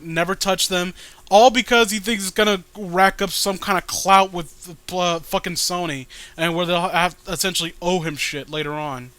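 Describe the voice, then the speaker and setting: A man's voice: high-pitched, pushed and bright, clear, resonant tone, no room sound. A man giving casual, mocking read-along commentary in a profane, sarcastic, ranting way. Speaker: host.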